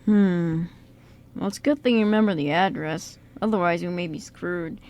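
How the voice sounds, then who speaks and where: A woman's voice: fairly low pitch, a little mellow, lo-fi sound, lightly acted. Woman playing an awkward, muttering male con man. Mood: helpful, low-key. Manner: halting, muttered. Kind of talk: amateur radio play acting.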